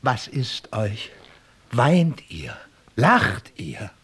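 Sly pragmatic squire: wry coaxing verse, swooping intonation, unhurried delivery, radio drama. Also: male voice, mid-range voice, sing-song, fairly bright tone, slightly rough, full character voice. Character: sly, pragmatic servant of a knight.

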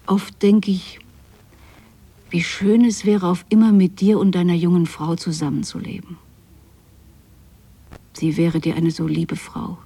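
Husky, pleading, lonely mother. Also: fairly low pitch, dark tone, exaggerated voice. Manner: tender, pleading, plaintive. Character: lonely, possessive, doting widowed mother pining for her absent son. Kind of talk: intimate radio drama monologue, spoken as a letter.

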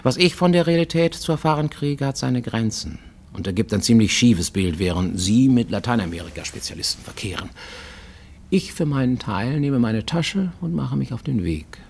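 An actor playing a paranoid, self-justifying fugitive who works as an illicit medic, narrating formally and deliberately in a radio play monologue. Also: male voice, medium pitch, expressive, gravelly, darkish tone, a little hiss or hum. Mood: bitter, matter-of-fact. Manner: formal, deliberate, insistently explaining himself to a listener.

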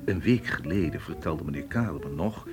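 Stern polite police inspector, radio drama, really deep voice, music behind him. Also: male voice, lively intonation. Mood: courteous. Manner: formal, measured, insistent questioning.

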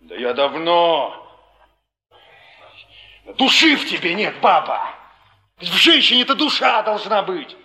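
An actor playing a restless, blunt, contemptuous young thief and lover in a theatrical stage drama, with a dismissive delivery. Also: male voice, high-pitched, big pitch swings, slow pace, pauses often, bright, forceful tone, exaggerated voice. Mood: defiant, reproachful. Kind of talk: Soviet 1950s-70s stage drama acting.

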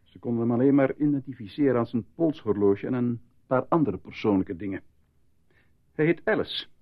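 An actor playing a calm, formal male doctor at a military hospital, soothing and measured, in a radio drama. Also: mid-range voice, phone-quality sound, lightly acted. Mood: explaining gently.

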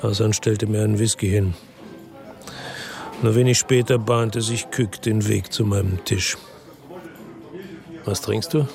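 A man's voice: lowish voice, fairly even intonation, unhurried, gravelly, long pauses, darkish tone, faint music behind. An actor playing a world-weary former policeman from Marseille, narrating his case soberly and laconically in a radio drama. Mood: dry, matter-of-fact. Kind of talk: first-person radio drama narration and dialogue.

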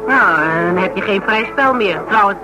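Woman acting a wry sleuth over loud music. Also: medium pitch, normal speed, lightly acted, low-quality recording. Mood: challenging, needling. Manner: wry, colloquial, needling. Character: shrewd, sceptical woman sleuth, teasing and unimpressed. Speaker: radio actor. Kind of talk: naturalistic radio play dialogue.

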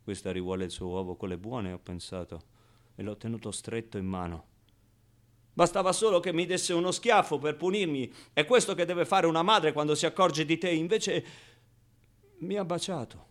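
A scrappy, wounded orphan tells his childhood story in a radio play, acted by a man. The voice sits a little high. The delivery is colloquial and theatrical, full of halting repetitions, moving between outbursts and quiet confiding. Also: natural voice. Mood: wistful, subdued. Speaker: stage actor performing a monologue. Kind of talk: theatrical first-person radio monologue.

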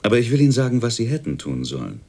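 An actor playing a brusque, condescending company boss in a radio drama, speaking pointedly and belittlingly. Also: male voice, mid-range voice, big pitch swings, rapid-fire, warm tone. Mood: scornful, lecturing.

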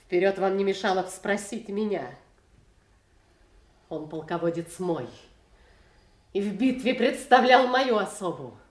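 A woman's voice: mid-range voice, expressive, echoey room, natural voice. An actress playing a proud, passionate noblewoman in a classical audio drama, imperious and dramatic. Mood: haughty. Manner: deliberate, dramatic.

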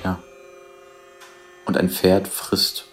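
A man informally describing a scene as it unfolds, hesitantly piecing the story together. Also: drawn-out words. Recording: music behind the voice.

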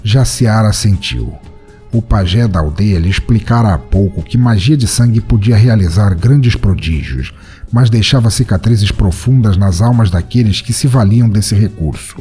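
Mellow, low Brazilian male storyteller. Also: moderate pace, faint music behind. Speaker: narrator of a fiction podcast. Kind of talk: scripted dramatic storytelling narration.

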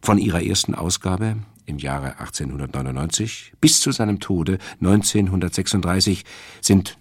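A man delivering a scripted literary radio essay as a presenter, in a measured, emphatic and erudite manner. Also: low voice, breathy edge, no room sound, studio quality. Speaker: radio presenter introducing a radio play.

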